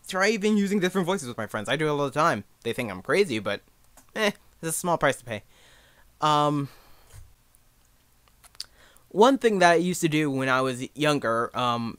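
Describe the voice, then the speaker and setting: A young man giving a casual, unscripted tutorial in a rambling, halting, self-deprecating way. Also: medium pitch, fairly bright tone, dry recording. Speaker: amateur voice actor giving advice.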